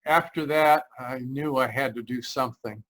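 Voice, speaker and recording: A man's voice: mid-range voice, normal speed, fairly bright tone, close to an everyday voice. An actor playing a haunted, anxious driver narrating his road trip in old-time radio style, tense and earnest. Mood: shaken. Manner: tense, earnest, dramatic storytelling. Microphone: video call. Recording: live video-call performance.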